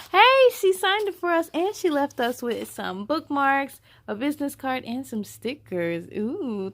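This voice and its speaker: A woman with a high voice hosts a story time for children. She reads slowly, in an expressive, sing-song way. Between passages she chats directly to her young listeners. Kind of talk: animated children's read-aloud with chatty asides.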